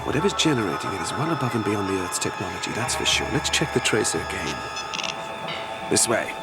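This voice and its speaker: An actor with a British accent playing an excitable, whimsical time-travelling adventurer in an audio drama, breezy and eager. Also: male voice, on the low side, a little fast, over loud music. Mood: brisk.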